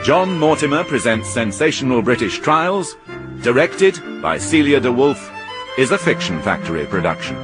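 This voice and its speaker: A man's voice: medium pitch, very animated, moderate pace. A man working as a radio announcer, reading formal scripted credits and introductions over violin music. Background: prominent violin music.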